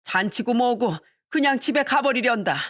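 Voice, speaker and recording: A woman's voice: on the high side, moderate pace, fairly bright tone, no echo, studio quality, voice pushed up. A woman voicing a furious elderly mother-in-law who scolds her sons emphatically in a dramatized family story. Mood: furious, scolding.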